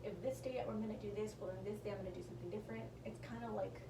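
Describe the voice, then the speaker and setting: A woman's voice: medium pitch, steady tone, racing, roomy sound, far from the mic, noisy background. A young woman giving a casual tarot reading, sounding nasal and tired from a sinus infection, rambling and correcting herself, sometimes dropping into a whisper. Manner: rambling, self-correcting, apologetic, drops into whispers. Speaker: tarot reader.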